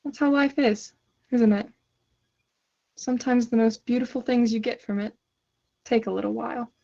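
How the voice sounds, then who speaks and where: A wistful woman played by an actress muses in a chatty theatrical monologue. Her voice sits a little high, and she speaks as if confiding in someone she knows. The sound is dull and lo-fi, like a conference call. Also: fairly even intonation, normal speed, gravelly, dark tone. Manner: reflective, conversational, addressing a listener.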